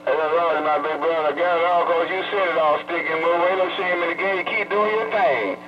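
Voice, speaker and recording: A man's voice: a little high, brisk. A man from Detroit talking on CB radio, loose and friendly, full of handles, slang and blessings, heard through a radio speaker. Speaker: CB radio operator. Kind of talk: casual CB radio banter. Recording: dull lo-fi CB radio audio with loud music.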